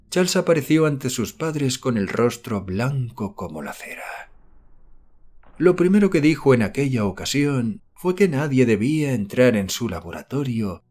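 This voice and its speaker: A man narrating a horror story as an audiobook, reading in a measured, literary storytelling manner. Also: medium pitch, darkish tone. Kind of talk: scripted narration of a horror novel.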